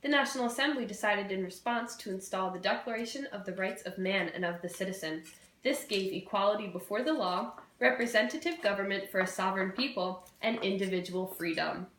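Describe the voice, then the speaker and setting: A woman's voice: mid-range voice, fairly quick, fairly bright tone, reverberant, a little hiss or hum. A young woman presenting a scripted educational puppet show, with playful, informal asides. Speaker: student presenter.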